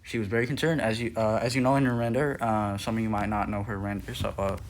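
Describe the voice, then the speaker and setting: A teenage boy explains things for his channel in a loose, meandering way, trailing off and restarting often. His voice sits a little low for a young man. He sounds unscripted and easygoing. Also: fairly even intonation, quick pace, a little hiss or hum. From Nepal. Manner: rambling, hesitant, many fillers and restarts. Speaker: youtuber. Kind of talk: casual lore video narration.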